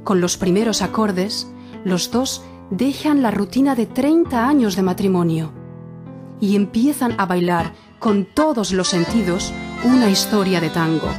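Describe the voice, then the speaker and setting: A woman narrating a Spanish learners' audiobook in a clear, measured reading style. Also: mid-range voice, expressive, over music.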